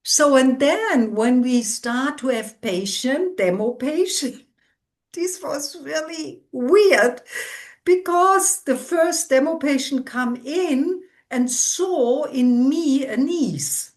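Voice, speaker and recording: A middle-aged woman with a loud voice, giving an interview as a senior instructor and sometimes searching for words in English. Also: sits high, lively intonation, takes it slowly. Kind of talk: conversational interview.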